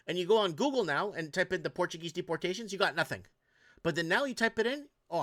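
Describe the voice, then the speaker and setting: A man's voice: fairly high pitch, fairly bright tone. A man hosting a reaction show, commenting on viral clips in an indignant, rambling rant full of restarts. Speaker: host.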